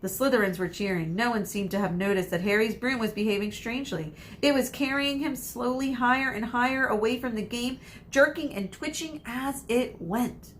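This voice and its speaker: A woman narrating a children's story expressively, with dramatic emphasis and pauses for suspense. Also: on the high side. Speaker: read-aloud storyteller, teacher. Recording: faint background noise.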